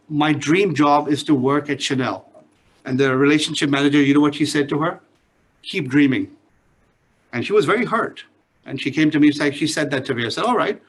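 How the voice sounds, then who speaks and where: A middle-aged man with a Singaporean English accent, a business lecturer introducing himself to his class in a chatty, joking way. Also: on the high side. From Pakistan, grew up in Singapore. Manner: chatty, joking asides, checks in with "all right?".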